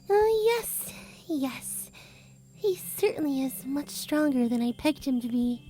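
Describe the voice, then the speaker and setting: A woman's voice: sits high, expressive, slow pace, warm tone, soft background music. A woman playing an eerie, playful teenage girl in a fantasy audiobook, dreamy, distant and condescending, musing unhurriedly. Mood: amused. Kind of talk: fantasy audiobook character acting.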